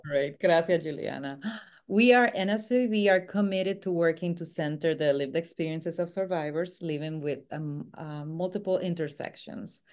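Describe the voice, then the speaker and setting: A woman co-hosts, posing her questions in a formal, deliberate way with small filler pauses. Her voice sits very low for a woman. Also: a little mellow. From Puerto Rico. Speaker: co-host, staff of the organization. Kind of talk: scripted panel questions.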